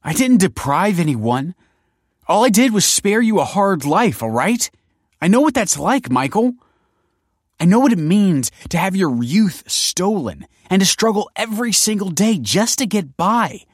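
Man voicing a tearful, pleading wife, pitched up. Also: fairly quick, dry recording, clean studio sound, natural voice. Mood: desperate. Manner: pleading, self-justifying, emotional. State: tearful, sniffing. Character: distraught, defensive wife pleading tearfully with her husband.

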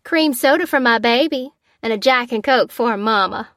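A woman's voice: mid-range voice, a bit bright, no echo, clean studio sound, natural voice. Woman acting a gushing young mom. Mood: tender, doting. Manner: gushing, cooing, drawn-out words. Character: gushing, playful thirty-year-old Southern American mother.

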